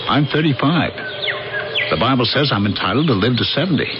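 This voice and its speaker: A man acting out a frustrated working man in his thirties in an old radio play. His pitch swings widely and he stammers as he gets worked up. The recording is dull, with noisy ambience behind him. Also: brisk, close to an everyday voice. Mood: anxious, apologetic. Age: 30s. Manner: hesitant, stammering, excitable. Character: anxious, frustrated 35-year-old everyman worker mistaken for a god. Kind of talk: 1980s radio drama acting.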